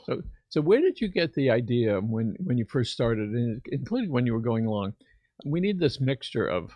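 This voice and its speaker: A man hosting a casual interview in a studio, asking questions in a halting, conversational way. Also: medium pitch, very animated, dark tone, no room sound. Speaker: show host.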